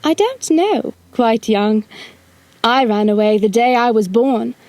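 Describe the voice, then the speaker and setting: A cocky, playful boy who refuses to grow up, acted by a woman. The voice is fairly high and takes its time, recorded close and dry. The delivery is theatrical, suited to an audio drama. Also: lively intonation, takes it slowly, a little mellow, no room sound. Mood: wistful, confiding. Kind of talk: audio drama acting, also narrating own stage actions.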